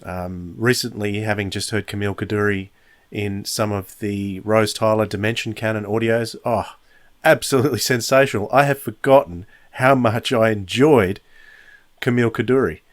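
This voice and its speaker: A man's voice: lowish voice, moderate pace. A man hosting a review podcast alone, talking casually like an enthusiastic fan. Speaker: podcast host.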